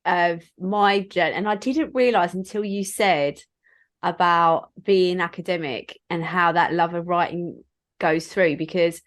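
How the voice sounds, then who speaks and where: A woman's voice: lowish voice, fairly even intonation, unhurried. A woman in her thirties hosting a podcast, chatting casually and rambling with lots of fillers. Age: 30s. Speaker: podcast host. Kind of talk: casual interview chat.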